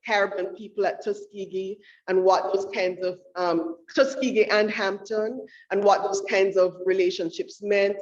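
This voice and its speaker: A woman's voice: medium pitch, fairly even intonation, moderate pace, few pauses, darkish tone. A woman talks through her research in a university lecture room, searching for words with many restarts and fillers. The room is echoey, and the sound is a little dull and muffled. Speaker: featured author at a book talk. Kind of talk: academic talk about her research. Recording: roomy, dull room audio.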